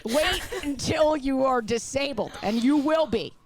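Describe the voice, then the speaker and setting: A woman in her thirties with a somewhat high voice. She banters casually as a podcast co-host, teasing her friends in a playful, mock-serious way. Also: a little slow.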